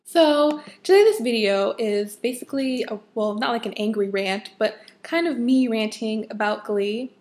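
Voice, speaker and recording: A young adult woman with a slightly hoarse, husky voice, giving a casual, emphatic rant as a vlogger. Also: a little high, moderate pace, a little mellow. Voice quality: a bit husky. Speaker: vlogger, fan of a TV show.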